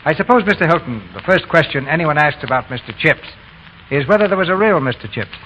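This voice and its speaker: A man's voice: a little high, lively intonation, fast, sharp tone, slight background noise, low-quality recording. A man hosting and narrating a 1930s radio drama broadcast in a formal, polished, declamatory scripted style.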